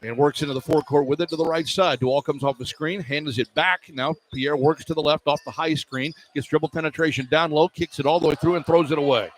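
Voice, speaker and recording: A man's voice: a little high, a little fast, few pauses, low-quality recording. A man doing live radio play-by-play of basketball, describing the action in clipped running phrases. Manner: clipped running description, excited on key plays. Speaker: play-by-play sports announcer. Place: courtside in an indoor arena. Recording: clear arena noise behind voice. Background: arena ambience.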